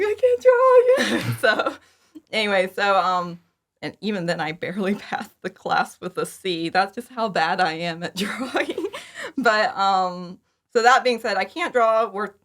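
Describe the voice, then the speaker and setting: A woman's voice: lowish voice, a bit bright. A woman talking casually and informally as an interview guest, chatty and prone to acting out her own remarks. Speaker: podcast guest, fan audio drama creator.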